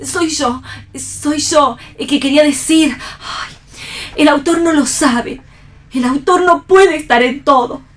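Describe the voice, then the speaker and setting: A woman's voice: high voice, slow pace, far from the mic. An actress playing an anguished mother-to-be in a radio play, pleading passionately in an Argentine accent. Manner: impassioned, pleading. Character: anguished expectant mother pleading against killing. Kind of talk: theatrical read radio play.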